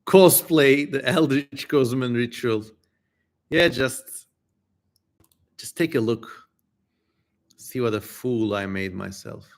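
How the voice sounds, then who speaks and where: A man's voice: mid-range voice, slow pace, pauses often. A man hosting a livestream dramatic reading, switching between theatrical recital and jokey, informal asides. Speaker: streamer, host.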